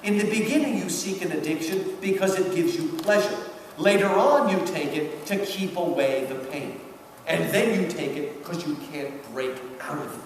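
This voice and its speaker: A man's voice: a little high, normal speed. A man giving a public lecture, emphatic and talkative, punctuating his points with questions. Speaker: lecturer giving commentary on a literary work. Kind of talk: animated public lecture with quoted readings. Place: large hall at a public event. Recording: very echoey hall, far off, faint noise.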